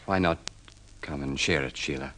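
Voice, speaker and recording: An actor playing an earnest, courteous, persuasive suitor with gentlemanly polish in an old-time radio drama. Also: male voice, very deep voice, normal speed, rough recording. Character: earnest, smooth-talking suitor, self-made man with gentlemanly polish. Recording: vintage radio drama recording.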